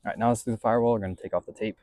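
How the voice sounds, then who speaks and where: Male DIY vlogger, lowish voice, close dry sound, easygoing slangy talk while working on a truck. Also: fairly even intonation, rapid-fire, warm tone, dry recording. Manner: laid-back, slangy, thinking aloud. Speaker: vlog host doing a DIY car install. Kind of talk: casual narration while working on a vehicle. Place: in and around a pickup truck.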